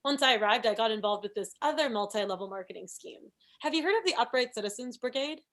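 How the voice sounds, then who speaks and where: High-voiced, blunt hustler played by a woman. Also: lively intonation, fast talker, a bit bright. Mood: breezy. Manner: matter-of-fact, blunt. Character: breezy young woman, shameless hustler with blunt rules. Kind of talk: comedy script table read.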